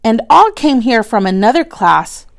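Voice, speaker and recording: A woman's voice: fairly high pitch, sing-song, normal speed, creaky. A woman voicing an eager young schoolboy who pleads earnestly with his teacher in a storybook reading. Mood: insistent.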